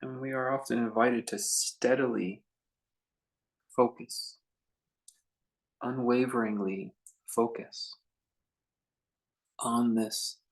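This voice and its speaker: Creaky-voiced man guiding meditation. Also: medium pitch, fairly even intonation. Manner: contemplative, unhurried, with long pauses between short phrases. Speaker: meditation teacher. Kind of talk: guided meditation talk.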